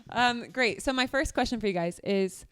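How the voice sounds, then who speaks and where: A woman's voice: fairly high pitch, normal speed, a bit bright, clear, resonant tone, no echo. A woman hosting a podcast in a casual, giggly, chatty way. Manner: informal, giggly. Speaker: podcast host. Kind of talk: casual chat.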